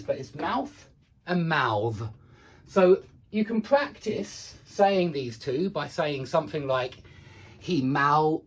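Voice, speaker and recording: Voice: medium pitch, slightly rough. An English pronunciation teacher giving a lesson, frank and self-deprecating, repeating examples with careful enunciation. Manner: self-deprecating, frank about own difficulty. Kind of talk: instructional lesson with demonstrated sounds.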